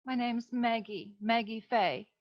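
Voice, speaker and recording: A woman's voice: a little high, fairly even intonation, unhurried, natural voice. A woman acting a melodramatic young damsel client in a comic noir radio serial, earnest and sweet, on a slightly lo-fi recording. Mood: matter-of-fact. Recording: slightly dull, lo-fi microphone.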